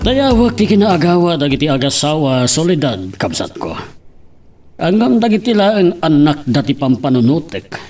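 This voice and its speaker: A man's voice: a little high, big pitch swings, moderate pace, darkish tone, faint music behind. A man with a Filipino accent playing a caring older brother and family elder in an audio drama. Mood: earnest, grateful. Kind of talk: Ilocano radio-style drama acting.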